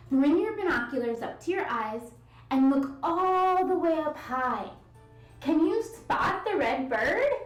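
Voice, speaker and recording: A woman hosting a children's read-aloud and movement lesson, instructing young children in an animated, singsong way. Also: sits high. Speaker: children's yoga and story time host. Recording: roomy echo, distant mic, music bed. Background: music.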